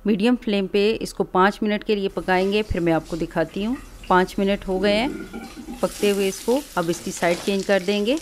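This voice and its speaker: Calm woman narrating a home cooking tutorial. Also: medium pitch, normal speed, background noise. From Pakistan. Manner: calm, instructive, explains each step plainly. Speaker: cooking channel host. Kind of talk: step-by-step cooking tutorial voice-over.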